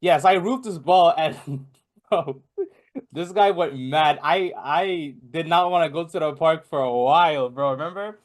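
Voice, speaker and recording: A man's voice: on the high side, measured pace. Rambling young man, lots of fillers. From Bangladesh. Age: young adult. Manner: rambling, many fillers and restarts. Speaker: podcast guest. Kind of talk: casual chat.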